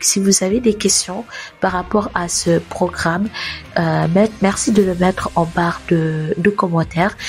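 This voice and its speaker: A woman with a cold talks through an explainer for her channel's viewers in a slightly lower voice. She hesitates a lot, restarts phrases and corrects herself as she goes. Soft music plays faintly behind her. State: has a cold. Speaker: host of an immigration advice channel. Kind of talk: informal explainer talking to viewers.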